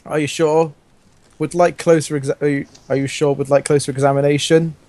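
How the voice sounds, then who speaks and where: A man's voice: on the high side, flat delivery, fairly quick, warm tone, no room sound, lightly acted. A man voicing a sassy, sly cartoon cat with clipped, broken phrasing in a fan fiction reading.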